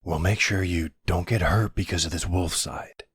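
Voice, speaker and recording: A man playing a gentle, worried boyfriend in an intimate roleplay audio drama, soothing and reassuring with a hesitant delivery. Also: steady tone, normal speed, dry recording, studio quality. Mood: caring, reassuring. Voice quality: low, husky voice.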